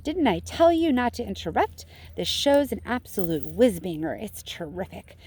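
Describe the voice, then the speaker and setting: Storybook role: irritable TV-mad boy of nine, read by a woman, brusque and keen, animated pitch. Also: normal speed, slightly rough. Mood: annoyed. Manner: brusque, enthusiastic. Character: irritable, TV-obsessed nine-year-old boy who loves gangster shows. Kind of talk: children's storybook read-aloud.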